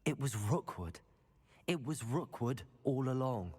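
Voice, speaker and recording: An actor playing an earnest, steady young wizard student, speaking plainly in a video game. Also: male voice, medium pitch, a little slow. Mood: grave, earnest. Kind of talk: dramatic dialogue, video game acting. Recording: reverberant hall, music behind.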